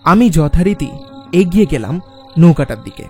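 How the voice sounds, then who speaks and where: A man plays an anxious traveller narrating his own horror tale. His voice sits a little high, dramatic and expressive, with faint music and night insects behind it. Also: normal speed. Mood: reflective, tense. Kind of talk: first-person horror story narration.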